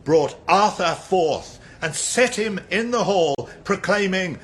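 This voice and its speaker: An actor playing a dramatic chronicler of a troubled realm, declaiming verse in halting phrases for a radio drama. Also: male voice, sits high, expressive, a bit bright, full character voice. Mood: grave proclamation.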